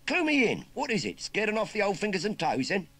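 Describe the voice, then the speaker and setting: A man's voice: high-pitched, huge pitch swings, fairly bright tone, pitched above the performer's own voice, full character voice. A man voicing a cheeky, scruffy boy urchin with a Cockney accent who chatters in rhyming slang. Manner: chirpy, rhyming slang, quick.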